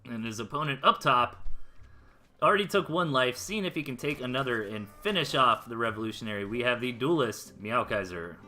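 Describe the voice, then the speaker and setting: An American man gives casual live commentary on a game. His voice is very bright and forceful at a medium pitch, and he explains plays in loose, slangy, conversational language. Guitar music plays behind him. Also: lively intonation, fairly quick. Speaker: esports replay caster.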